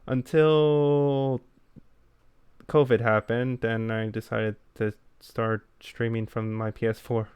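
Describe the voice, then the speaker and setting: A man co-hosting a podcast, chatting casually in a low-key, self-effacing way. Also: flat delivery, slow, darkish tone, smooth, no echo. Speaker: podcast co-host who makes gaming videos.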